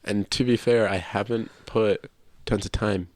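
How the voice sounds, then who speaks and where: A man with a creaky voice co-hosting a casual video game podcast, chatting conversationally. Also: steady tone, measured pace, dry recording. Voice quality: low creaky voice. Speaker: podcast co-host. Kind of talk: casual podcast chat about video games.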